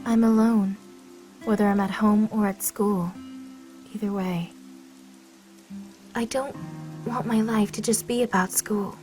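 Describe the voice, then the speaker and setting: A high, bright-voiced woman acting as a high schooler in an anime fan dub. The student is prickly and lonely, quick to argue. Music plays behind the lines. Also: lively intonation, heavily acted. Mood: weary, withdrawn.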